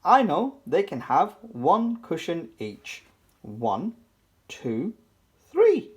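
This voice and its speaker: A children's book reader giving an animated, playful, sing-song read-aloud for toddlers. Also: medium pitch, very slow.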